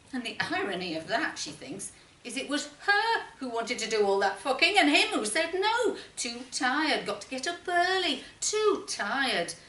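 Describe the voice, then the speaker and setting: A woman narrates a short story in a bright voice with a dramatic, measured delivery. She sounds a little distant from the microphone, in a roomy space with faint noise behind her. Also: a little high. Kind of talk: expressive literary story narration.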